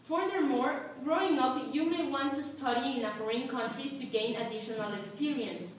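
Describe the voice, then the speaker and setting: A woman's voice: sits high, normal speed. A teenage girl from Mexico with a Mexican Spanish accent, a native Spanish speaker, reciting a school speech carefully, phrase by phrase. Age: teens. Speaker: student. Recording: echoey room, distant mic, faint hiss.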